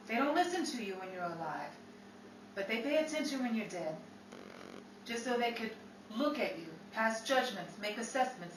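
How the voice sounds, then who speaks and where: A woman's voice: a little high, natural voice. A woman acting a tough, ambitious young Chicago showgirl, defiant and dramatic, in the manner of 1930s radio drama. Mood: bitter, musing. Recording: roomy, distant mic with faint noise.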